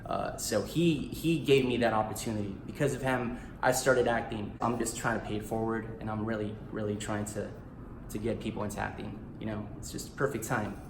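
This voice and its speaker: A man's voice: on the low side, steady tone, a little fast, creaky, background noise. A man in his thirties, an actor and author whose first language was Spanish, talking in a casual, eager vlog style with plenty of "like" and "you know.". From United States. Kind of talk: casual self-promo vlog. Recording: roomy echo in a small room.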